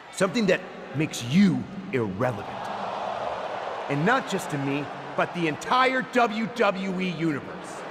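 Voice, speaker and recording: Smug wrestling boss, pompous game promo, super animated, big echoey arena, noisy behind him. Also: male voice. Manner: pompous, theatrical, sneering. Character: smug, scheming male wrestling boss making grand announcements. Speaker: wrestling show general manager. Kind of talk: wrestling promo speech, video game cutscene. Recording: booming arena reverb, loud background noise.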